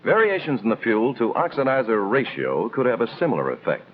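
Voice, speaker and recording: An American man reading scripted instructional narration in the style of a 1950s military training film, explaining in a measured, didactic way with clear emphasis. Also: medium pitch, huge pitch swings. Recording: tinny band-limited old film soundtrack, noisy.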